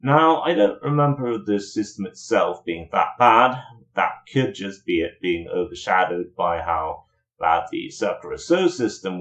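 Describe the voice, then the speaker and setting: A man with a fairly low voice talks through a review as a video host. He is informal and opinionated, speaking in a casual commentary style with the odd mild swear word.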